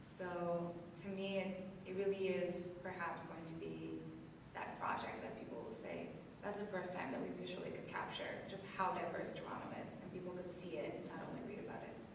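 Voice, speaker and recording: A woman's voice: on the low side, normal speed. A woman from North Macedonia speaking thoughtfully in an interview, with many fillers and restarts. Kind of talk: reflective interview. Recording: echoey hall, distant mic, noisy.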